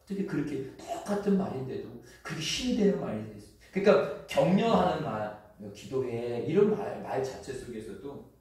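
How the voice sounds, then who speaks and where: Pastor, man, Chungcheong accent, earnest chatty preaching with slow sentence endings, distant mic, heavy hall echo. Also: mid-range voice, big pitch swings, a little mellow. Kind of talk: sermon. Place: church sanctuary. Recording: echoey church hall from far off.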